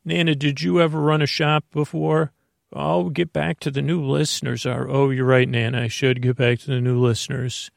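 A man's voice: medium pitch, flat delivery, moderate pace, vocal fry, no echo, clean studio sound. A man hosting a bedtime podcast, rambling through a meandering intro and often breaking off mid-thought. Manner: meandering, self-interrupting, stream of consciousness. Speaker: podcast host.

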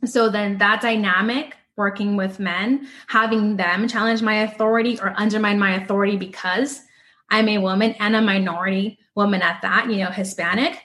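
Host: woman, mid pitch, measured and encouraging interviewer. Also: fairly even intonation, a bit bright. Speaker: podcast host. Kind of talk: interview conversation.

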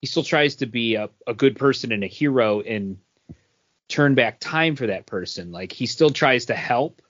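A man's voice: medium pitch, normal speed, a bit bright. Man on muffled lo-fi audio, chatting hesitantly. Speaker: podcast co-host. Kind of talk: casual chat. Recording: dull lo-fi audio.

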